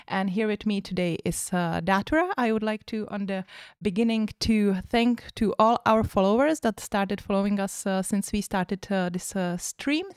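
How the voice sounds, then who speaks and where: A woman's voice: mid-range voice, normal speed, hardly any pauses, darkish tone, clear, resonant tone, no room sound. Hesitant woman livestream host, dry sound. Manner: hesitant, many fillers. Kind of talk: casual livestream chat.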